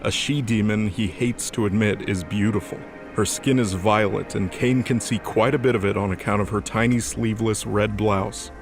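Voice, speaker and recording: A man delivering scripted dramatic narration as the narrator of an audio drama. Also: on the low side. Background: music.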